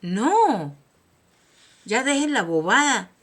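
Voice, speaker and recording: A woman from Colombia with a Colombian accent, giving a personal motivational monologue as host, emphatic and preaching, swinging from soft asides to loud declarations. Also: fairly high pitch, sing-song, slow pace.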